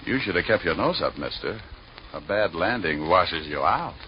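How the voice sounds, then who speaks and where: An actor playing a cocky, hard-boiled private detective in a 1940s radio noir, glib and dryly wisecracking. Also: male voice, low voice, expressive, moderate pace, heavy background noise. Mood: terse narrating. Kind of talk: 1940s radio noir acting and first-person narration. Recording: muffled old radio recording, loud music.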